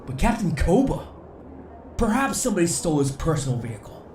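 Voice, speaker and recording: An actor playing a cautious senior commander in a sci-fi audio drama, measured and authoritative. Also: male voice, a little high, sing-song, a little mellow. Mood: wary. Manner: measured, firm. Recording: echoey room, clear ambient noise.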